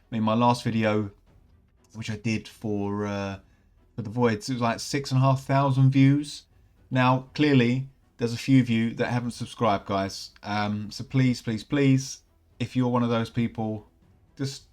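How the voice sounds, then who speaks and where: A man, slightly croaky from a cold, chatting casually and rambling as he opens game packs for his channel. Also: medium pitch, a little mellow. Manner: chatty, upbeat, rambling with many fillers. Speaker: gaming channel host. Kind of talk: casual commentary while opening game packs.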